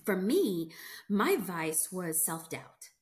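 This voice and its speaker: A woman's voice: on the low side, very animated, takes it slowly. A middle-aged woman whose first language is not English, talking animatedly as an interview guest, emphatic and reflective. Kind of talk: conversational interview.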